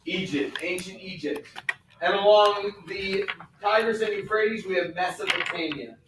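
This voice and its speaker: Male schoolteacher, high-pitched, informal explainer. Distant mic in a very echoey, noisy classroom. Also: a little slow. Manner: informal, instructive. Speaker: school teacher. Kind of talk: classroom lesson, explaining and questioning.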